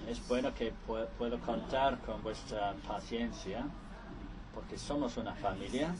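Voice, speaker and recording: A man interpreting conference speeches live, halting and restarting often. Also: medium pitch, a crowd in the background, rough recording.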